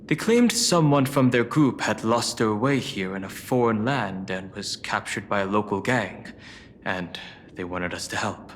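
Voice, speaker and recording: Man playing a slow-spoken, reserved warrior. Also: fairly low pitch, slight background noise, natural voice. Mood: measured. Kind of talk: video game voice acting.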